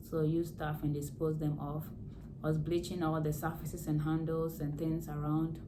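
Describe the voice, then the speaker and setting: A hoarse woman with a low voice talks through home care in a casual vlog, explaining plainly and going back over the important points. She is recorded to camera, with a steady hiss of noise behind her. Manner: plain explaining, repeats key words. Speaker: nurse vlogger. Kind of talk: casual health advice vlog.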